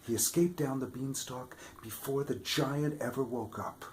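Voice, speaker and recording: A man reading a children's fairy tale aloud with expressive delivery, dropping to whispers for suspense. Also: medium pitch, a little slow, slight background noise. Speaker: storybook reader, theatre regular. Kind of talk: children's story read-aloud.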